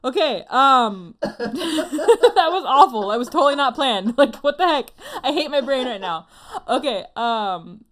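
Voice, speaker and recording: A woman's voice: sits high, expressive, a little slow, fairly bright tone. A woman co-hosting a casual comedic anime podcast in an informal, self-deprecating way. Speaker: podcast co-host.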